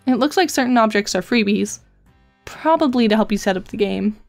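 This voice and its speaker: A woman voicing a dry, precocious teenage girl in a web comic dub, speaking in a measured, formal, deadpan way. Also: medium pitch, soft background music, close to an everyday voice. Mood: matter-of-fact.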